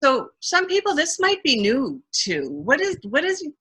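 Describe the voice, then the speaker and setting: Bright-voiced woman hosting an interview. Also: high voice, wildly swooping pitch, measured pace. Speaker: interviewer, host.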